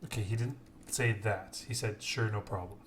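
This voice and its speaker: A man's voice: lowish voice, fairly even intonation, normal speed. A man casting an esports tournament on a live stream, chatting casually and apologizing a lot. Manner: chatty, self-deprecating, apologetic. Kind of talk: casual late-night live stream chat. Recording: keyboard typing noise behind voice. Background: clear keyboard typing.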